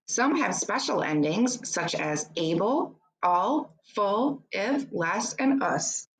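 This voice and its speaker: A woman reads an educational children's book aloud like a teacher, in a deliberate, instructive way, adding short explanations for young pupils. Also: mid-range voice, expressive, low-quality recording.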